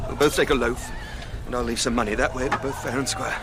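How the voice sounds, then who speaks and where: An actor playing an anxious, decent gentleman in a radio drama, hesitant and polite with educated, formal phrasing. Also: mid-range voice, steady tone, fast talker, heavy background noise, natural voice. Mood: polite unease. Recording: music behind the voice.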